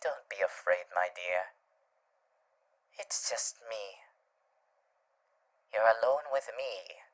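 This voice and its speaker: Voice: mid-range voice, slow pace. An actor voicing a suave, theatrical male demon who soothes the listener tenderly in an ASMR roleplay, often dropping to murmurs. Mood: hushed, tender.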